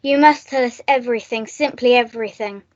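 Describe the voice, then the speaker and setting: Flamboyant high-voiced posh schoolgirl played by a woman. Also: fairly quick, natural voice. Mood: gushing, eager. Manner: posh, theatrical, breezy. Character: flamboyant, cocktail-loving upper-class English schoolgirl Felicity. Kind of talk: comic melodrama radio play. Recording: dull lo-fi recording.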